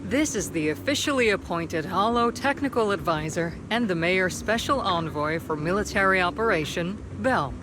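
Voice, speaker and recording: A woman voicing a calm, authoritative female colonel in a video game, speaking in a measured, formal way. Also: on the low side, background noise, close to an everyday voice. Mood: formal, businesslike. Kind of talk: scripted video game voice acting.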